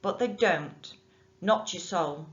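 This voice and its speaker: A woman's voice: fairly low pitch, slow pace. A woman voicing a kindly, chatty man with a strange accent, in a dull lo-fi recording. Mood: friendly.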